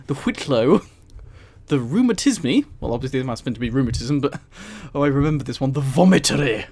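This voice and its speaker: A man's voice: medium pitch, animated, a little fast, darkish tone. A man on a headset mic, reading aloud on a casual livestream with rambling, amused asides. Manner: amused, giggly, rambling commentary. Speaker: streamer reading a book aloud to live chat.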